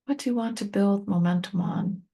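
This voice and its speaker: A woman leading a guided meditation, speaking gently and unhurriedly to the listener in short, spaced-out phrases. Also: medium pitch, brisk. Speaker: meditation teacher and tapping coach.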